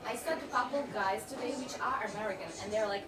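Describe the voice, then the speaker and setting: A woman's voice: fairly high pitch, rapid-fire, heavy background noise. A young woman with a Russian accent, chatting earnestly at a live-streamed party interview. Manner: chatty, earnest, slightly non-native phrasing. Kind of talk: casual interview. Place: busy indoor party venue. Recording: crowd chatter behind.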